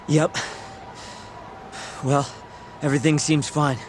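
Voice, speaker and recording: An actor playing a breezy, quick-witted young sidekick in a video game, speaking casually. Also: male voice, a little high, level tone, background noise. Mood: casual, alert. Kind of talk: video game cutscene acting.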